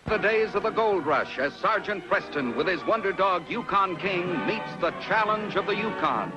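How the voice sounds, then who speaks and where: A man's voice: sits high, lively intonation, moderate pace, lo-fi sound. A man narrating a 1950s adventure series in a grand, solemn announcer style. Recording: vintage 1950s television soundtrack. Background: loud music.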